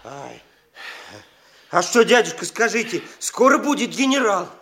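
A man's voice: sits high, very animated, measured pace, fairly bright tone, heavily acted. An actor playing a naive, inquisitive young servant boy, eager and simple, in a theatrical radio play. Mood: eager, curious.

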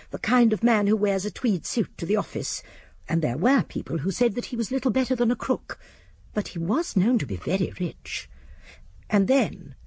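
An older woman playing a shrewd, prim elderly English spinster sleuth, narrating a radio drama in precise, husky tones. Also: mid-range voice, a little mellow, dry recording.

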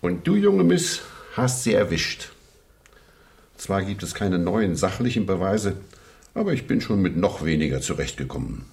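A shrewd investigator in a radio mystery. His voice is low with very animated intonation. He speaks assertively and deliberately, sure of himself. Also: gravelly, exaggerated voice. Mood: confident. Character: shrewd, confident male criminal investigator. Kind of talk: German radio crime drama acting.

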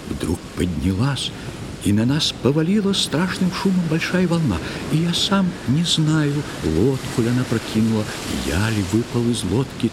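Актёр в роли старого священника, очень живая речь. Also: male voice, mid-range voice, noisy background, close to an everyday voice. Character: venerable old priest, pious, excitable storm survivor. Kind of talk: dramatic verse storytelling in an audio play.

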